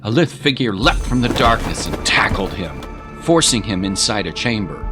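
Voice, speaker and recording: A man's voice: lowish voice, big pitch swings, moderate pace. A man narrating a scripted fantasy story in a descriptive, literary style. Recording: prominent music behind.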